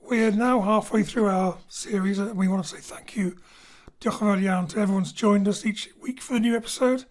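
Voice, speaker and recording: A man's voice: sits high, steady tone, normal speed. A man, the director, casually closing the episode and chatting with the actors in a hesitant, friendly way. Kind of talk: casual outro chat. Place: home studio. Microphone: studio mic over a live internet link.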